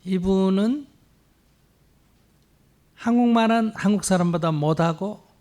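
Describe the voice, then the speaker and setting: A man's voice: high voice, very fast, a little mellow. A man, a Buddhist monk, patiently answering a question step by step with examples at a temple gathering. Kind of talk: dharma Q&A answer. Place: temple hall.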